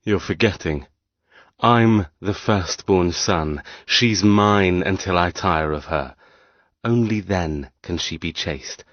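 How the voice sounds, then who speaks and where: A male narrator plays a stern young heir with a low voice. He is clipped and possessive, giving orders as an audiobook character. The sound is dry and close, slightly dulled at the top. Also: slow pace, dry recording, lo-fi sound. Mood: cold, possessive.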